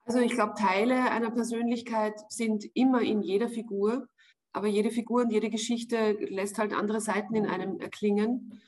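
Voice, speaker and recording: A woman in her forties with an Austrian German accent, answering interview questions at home. She speaks in a measured, reflective way, often pausing with fillers as she weighs her words. The sound is from a webcam on a video call. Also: medium pitch, steady tone, a little mellow. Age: 40s. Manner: thoughtful, searching for words, frequent fillers. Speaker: actress being interviewed. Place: home room with wood panelling, indoors.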